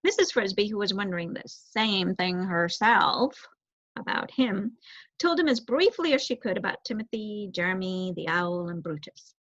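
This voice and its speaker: A young woman giving an expressive reading of a children's novel as narrator. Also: mid-range voice, normal speed. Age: young adult. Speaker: story reader, student. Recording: roomy echo, dull muffled sound.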